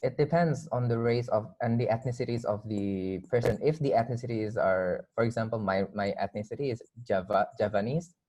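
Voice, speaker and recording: Mellow-voiced Indonesian man in casual discussion. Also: fairly low pitch, brisk, few pauses, poor audio. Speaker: guest.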